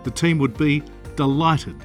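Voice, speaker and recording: A man's voice: fairly high pitch, unhurried, faint music behind. A man hosting a podcast, asking polite questions and reading a tidy sign-off. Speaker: podcast host. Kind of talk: podcast interview and outro.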